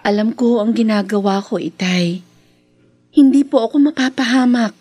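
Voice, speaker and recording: A meek young daughter in a radio drama, played by a woman. Her voice sits high and is recorded close and dry, and she speaks evasively, like someone keeping things from a parent. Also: normal speed, warm tone, no room sound, close to an everyday voice. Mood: respectful, reassuring. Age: young adult. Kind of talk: Filipino radio drama acting.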